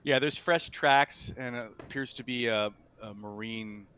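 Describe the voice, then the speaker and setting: A man acting as game master, improvising halting scene descriptions for a tabletop roleplaying game. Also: medium pitch, bright, forceful tone, clean tone.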